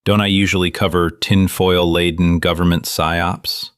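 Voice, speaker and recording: Conspiracy show host, deep low voice, wry, conspiratorial, conversational, audio drama monologue, dry recording. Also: male voice, little pitch movement, measured pace, clear, resonant tone, dry recording. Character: wry conspiracy radio show host, Miller, grown man.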